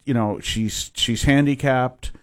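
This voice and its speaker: A man in his fifties from Massachusetts, a priest, giving a rambling conversational interview with many pauses and restarts. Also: mid-range voice, fairly even intonation, no echo.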